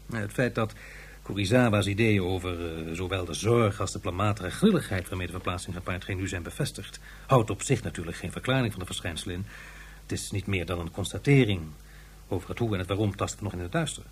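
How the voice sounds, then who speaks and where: A man playing an earnest scientific official, formal and deliberate with some hesitation, in a science fiction radio play. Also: low-pitched, slightly rough. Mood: cautious, deferential. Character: earnest male scientific official reporting to superior.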